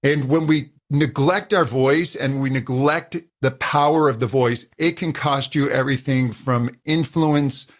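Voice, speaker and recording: A man who coaches voice users, answering interview questions and explaining at an uneven, animated pace. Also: mid-range voice, measured pace, hardly any pauses. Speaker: voice coach, guest.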